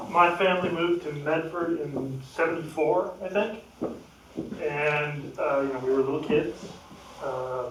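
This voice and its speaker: A man's voice: fairly high pitch, a bit bright, slight background noise. A man sharing memories of a family friend at a memorial, hesitant and full of fillers, chuckling at times. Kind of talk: informal spoken remembrance. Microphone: no microphone, heard from a distance. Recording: echoey room, speaker far from the mic.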